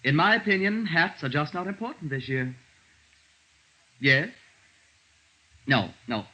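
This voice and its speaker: Fussy, melodramatic dress designer, wildly swooping voice. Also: male voice, fairly high pitch, expressive, measured pace, pushed and bright, full character voice. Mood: exasperated. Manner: theatrical, fussy, gushing, stammers when agitated. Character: flustered, melodramatic male dress designer, fussy and self-dramatizing. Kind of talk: 1950s radio drama acting. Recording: dull 1950s radio drama recording.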